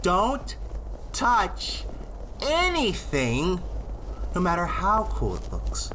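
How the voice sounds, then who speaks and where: A woman's voice: lowish voice, huge pitch swings, drawn-out words, a bit bright, a little hiss or hum, heavily acted. A woman playing a character who speaks in an urgent warning tone to a friend. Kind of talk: fiction podcast acting.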